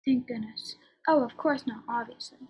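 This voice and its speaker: Woman playing a high, husky, wry aristocratic lady. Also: normal speed, warm tone. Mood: grudging. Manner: dry, sardonic, evasive. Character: weary, wry, reluctant English aristocratic lady. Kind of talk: radio play acting.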